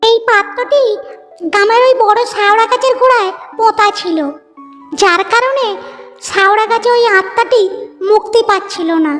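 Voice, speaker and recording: A woman voicing a brave, determined and kind village girl in a children's cartoon, speaking in an earnest, deliberate way. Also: extremely high pitch, a bit bright, faint music behind.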